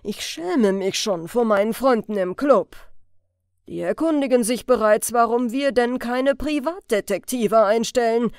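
A woman's voice: fairly high pitch, unhurried, darkish tone, clear, resonant tone, dry recording, clean studio sound. A woman voicing a pompous, indignant male police major in an audiobook, haughty and scolding, with emphatic delivery. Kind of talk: audiobook character voice.

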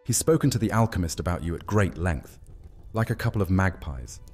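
Man acting deep-voiced, cocky young vampire soldier. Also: expressive, brisk, darkish tone, faint music behind. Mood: needling. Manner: theatrical, teasing, boastful. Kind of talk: fantasy audio drama acting.

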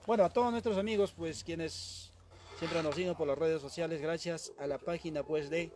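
A man's voice: on the high side, normal speed, a little hiss or hum. A man hosting a live music broadcast, reeling off long lists of greetings and dedications with a friendly, easygoing "pues". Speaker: host of an online music broadcast. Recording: loud music playing under the voice.

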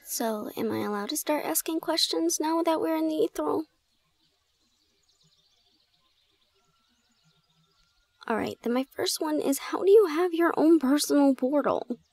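Woman acting a high-voiced, flustered young gorgon woman. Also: expressive, creaky, darkish tone, no echo. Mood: curious. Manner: flustered, halting, exclamatory. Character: shy young gorgon woman student, easily flustered and incredulous. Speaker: audio roleplay performer. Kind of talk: audio roleplay drama acting.

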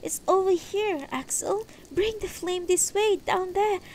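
A woman's voice: a little slow, a little mellow, slight background noise. A woman voicing an anxious, tender elderly wife in a fantasy story, pleading and distressed. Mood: alarmed. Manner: pleading, distressed, high-voiced.

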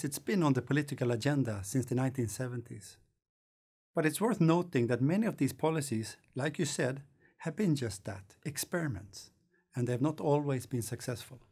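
A man, presenting a scripted lecture in a studio in a measured, thoughtful way. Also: mid-range voice, darkish tone, husky. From Sweden. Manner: measured, thoughtful explaining with hand gestures. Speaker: course lecturer. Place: studio against a green screen.

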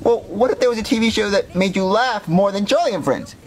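A naive young boy, pleads in a high, whiny voice. His pitch moves around a lot as he earnestly stammers through a comedy skit. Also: animated, fairly quick, slight background noise. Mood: earnest, puzzled. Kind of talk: comedy skit character acting.

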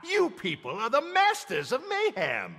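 A manic clown villainess with a high, wildly swooping voice. She taunts gleefully and theatrically, raspy and sharp, in an echoing fighting-game mix. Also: wildly swooping pitch, measured pace, exaggerated voice. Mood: manic glee. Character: manic, menacing female clown jester villain. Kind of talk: video game character acting.

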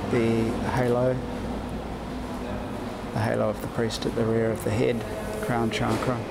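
A man giving an explanatory commentary on location, speaking in a halting, deliberate way into a handheld camera as he points things out. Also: medium pitch, level tone, vocal fry, over loud music. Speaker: vlogger narrating his own walking video. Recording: noisy handheld recording, city traffic and ambience. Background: street and ambient noise.

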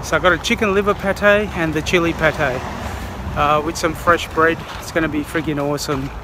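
A man's voice: a little high, steady tone, normal speed, noisy background. A man chatting casually and informally to his viewers as a vlogger, with the odd mild swear. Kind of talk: casual vlog chat to viewers.

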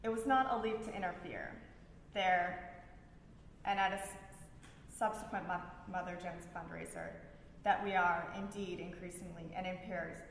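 Lady with a lowish voice reading out loud, trips on words a bit, really echoey room. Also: fairly even intonation. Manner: halting, often stumbling over words and restarting. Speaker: librarian reading at a public event. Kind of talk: reading prepared texts aloud. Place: large reverberant room at a library event. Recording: very echoey hall with background noise.

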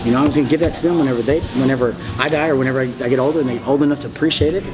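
A man's voice: mid-range voice, fairly even intonation, rapid-fire, music in the background, poor audio. A man in his forties telling his story as an interview guest, rambling, emphatic and repetitive, gesturing broadly as he talks. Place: indoor restaurant booth.

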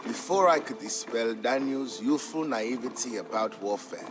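A man giving a scripted, measured and expressive story narration for a historical game. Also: medium pitch, over music.

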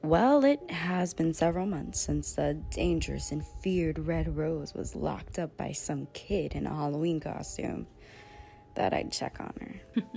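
An actress playing a rich, condescending crime lord, smug and controlled, in an audio drama. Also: female voice, low-pitched, a little slow, vocal fry, warm tone, faint music behind.

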